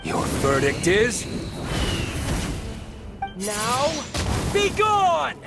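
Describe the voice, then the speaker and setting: An actor playing a fierce, solemn warrior in a video game, commanding and declamatory. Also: male voice, very high-pitched, huge pitch swings, extremely slow, full character voice. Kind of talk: dramatic video game voice acting. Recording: loud game music behind.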